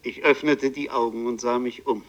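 A man's voice: mid-range voice, steady tone, noisy background, natural voice. Haunted Parisian bookseller telling his tale, acted by a man. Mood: fatalistic, dramatic. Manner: literary, deliberate, intense. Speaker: actor narrating a radio play. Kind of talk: dramatic first-person radio play narration.

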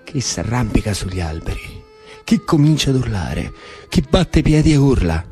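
A man with a Roman Italian accent performing a colloquial theatrical monologue for radio as an animated storyteller. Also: mid-range voice, normal speed, slightly rough, faint music behind. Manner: animated, colloquial, shifting between narration and characters. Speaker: actor and storyteller.

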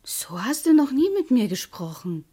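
A woman's voice: fairly high pitch, sing-song, normal speed, dark tone, slightly rough. An actress in a radio play playing a romantic, touchy, unsure woman in her forties who speaks earnestly. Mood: hurt, flustered. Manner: earnest, easily hurt. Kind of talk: German radio drama dialogue.

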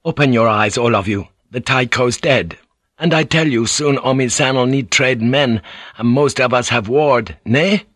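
Male narrator as defiant village headman, forceful, sharp, emphatic, persuasive, earthy old fighter, dry storybook sound. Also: medium pitch, unhurried, no room sound, voice pushed up. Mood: rousing, defiant.

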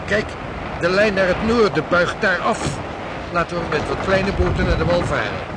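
An actor playing a stern, decisive explorer at sea in a historical radio drama. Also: male voice, sits high, lively intonation, normal speed, very noisy. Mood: firm. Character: stern, decisive seafaring explorer, impatient ship's officer.

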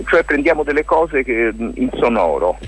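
A man giving a spontaneous radio interview as a guest, speaking haltingly and often circling back to check he is understood. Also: mid-range voice, big pitch swings, fairly bright tone, husky. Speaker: lawyer and stage author, interview guest.

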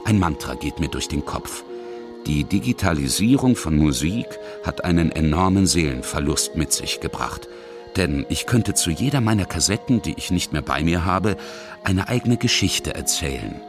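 A middle-aged man with a deep, low voice reads scripted narration for a radio feature. Music plays clearly behind his words. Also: lively intonation, moderate pace.

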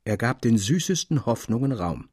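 A man's voice: medium pitch, big pitch swings, normal speed, darkish tone, breathy edge, no echo, studio quality. A man narrating a classic literary tale expressively, as an audiobook reader. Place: dry studio.

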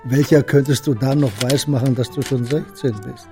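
An older man playing a kindly, wry old shopkeeper in a radio play, fatherly, gently teasing and deliberate. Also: mid-range voice, steady tone, faint music behind, close to an everyday voice. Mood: wry, gentle.

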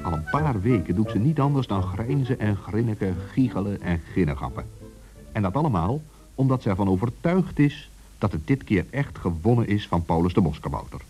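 A man narrating a scripted children's story in an expressive storytelling manner. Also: lowish voice, brisk, over music.

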